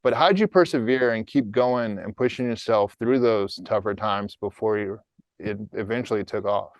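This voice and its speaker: A man's voice: on the low side, normal speed, no echo. A man hosting an interview, asking questions in a casual, conversational way. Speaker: interview host.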